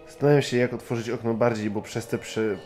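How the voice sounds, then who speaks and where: A man's voice: mid-range voice, steady tone, quick pace, darkish tone, faint music behind. A man playing a tabletop role-playing game, describing his character's actions in a hesitant, nervous way. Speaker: player.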